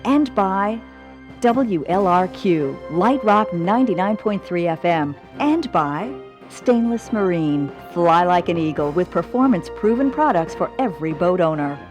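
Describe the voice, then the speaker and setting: A woman's voice: lowish voice, expressive, unhurried. A woman announcer reading scripted television sponsor messages. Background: music.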